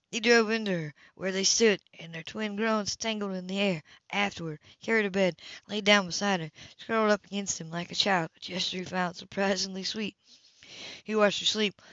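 A woman reading a romance novel aloud as an amateur narrator, slightly halting, stumbling over words and repeating them. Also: mid-range voice, steady tone, a bit bright, dry recording. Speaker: amateur audiobook reader. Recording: dull, muffled lo-fi home recording.

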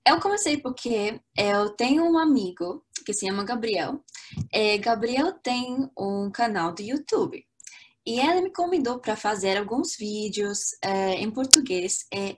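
Upbeat young woman teaching on a video call. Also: a little high. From Latvia. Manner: lively, chatty, many fillers and restarts. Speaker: language teacher. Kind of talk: live online language lesson. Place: small room indoors. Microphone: webcam on a video call.

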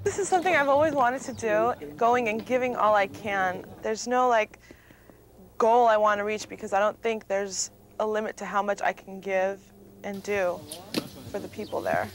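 A woman's voice: mid-range voice, normal speed, slight background noise. A young woman who is a university student, answering interview questions in an earnest way, with sentences that trail off slightly. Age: young adult. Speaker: student volunteer.

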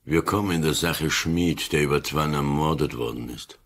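An older man playing a shrewd, dry old police inspector in a radio play, speaking in an unhurried, husky voice. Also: extremely low pitch, fairly bright tone. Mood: dry, matter-of-fact. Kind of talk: 1980s radio play acting.

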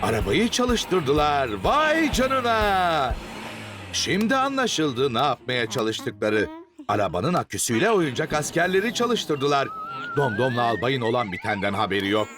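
Lively, slow children's cartoon narrator. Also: medium pitch. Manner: exclaiming, jumping into the characters' lines. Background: cartoon music.

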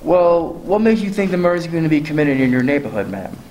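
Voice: mid-range voice, very animated, a little fast, darkish tone, natural voice, poor audio. A young-sounding performer acting a bored, laconic male police sergeant who humors a caller in a 1940s-style radio play. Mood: placating. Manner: laconic, humoring, hesitant fillers. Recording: clear background noise, clicks.

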